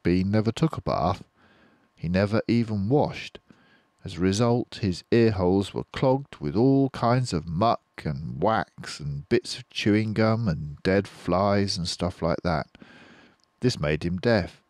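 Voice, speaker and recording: Low-voiced, lively older storyteller. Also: male voice, takes it slowly, dry recording, studio quality. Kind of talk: reading a children's book aloud.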